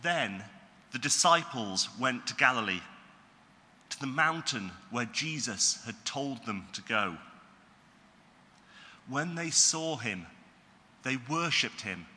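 A man reading Bible passages aloud in a measured, formal way. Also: mid-range voice, lively intonation, bright, forceful tone, long reverb tail, a little hiss or hum. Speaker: scripture reader.

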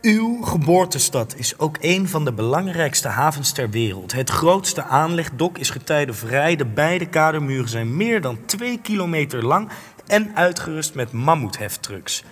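Husky, confrontational interviewer, echoing. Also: male voice, fairly high pitch, expressive, measured pace, faint music behind. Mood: insistent. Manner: pointed, insistent, accusatory. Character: probing, confrontational male television interviewer. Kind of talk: Dutch radio play acting. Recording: long reverberant hall.

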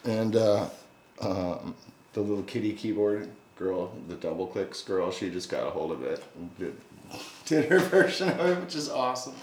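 A man's voice: lowish voice, expressive, normal speed. Rambling man in an echoey room. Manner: rambling, chatty storyteller. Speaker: guest musician. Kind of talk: casual podcast chat. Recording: echoey room with faint noise.

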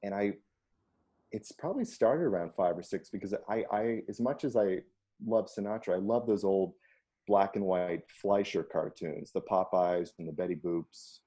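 A man with a very low, mellow baritone talks loosely about music. He rambles with frequent ums and breaks into easy laughs, relaxed and conversational. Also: normal speed. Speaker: singer. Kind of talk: casual conversation.

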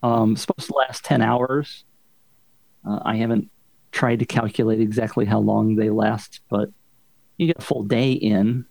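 A man's voice: on the low side, steady tone, moderate pace, creaky, darkish tone, no room sound, rough recording. A man guesting on a casual tech podcast, speaking hesitantly with fillers.

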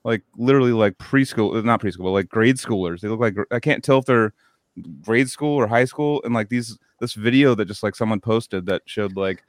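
30s dude hosting a podcast, lowish voice, cussing, dry sound. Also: male voice, normal speed, no room sound. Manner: animated, swearing, tells stories. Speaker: podcast host. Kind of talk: casual chat.

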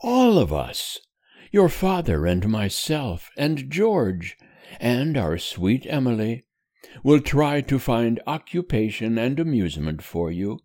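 A cheerful, tender mother comforting her child in a storybook reading, voiced by a man. She speaks softly and soothingly, her voice lifting in a lilting way. The sound is dry and close. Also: medium pitch, wildly swooping pitch, slow pace, no room sound, pitched above the performer's own voice, exaggerated voice. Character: gentle cheerful mother consoling her son. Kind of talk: audiobook character reading.

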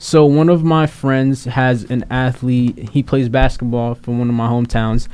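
A man's voice: mid-range voice, little pitch movement, moderate pace, darkish tone, clean tone. A young man hosting a casual talk show, rambling a little with fillers and restarts as he sets up topics. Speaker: podcast host.

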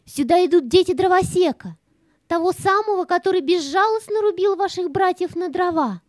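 A woman's voice: very high-pitched, moderate pace, no echo, studio quality. A woman playing a sly, scheming cat with an insinuating, theatrical manner in a children's audio play. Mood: urgent, scheming. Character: sly, scheming female cat, spiteful and coaxing.

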